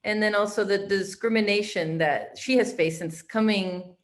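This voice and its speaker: A woman's voice: mid-range voice, measured pace. A woman giving an informal interpreted summary of a speaker's remarks, searching for words with restarts and fillers.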